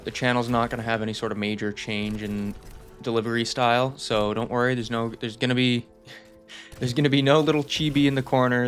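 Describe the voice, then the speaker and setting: A young man giving a casual, rambling channel update, self-deprecating and reassuring. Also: mid-range voice. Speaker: channel host.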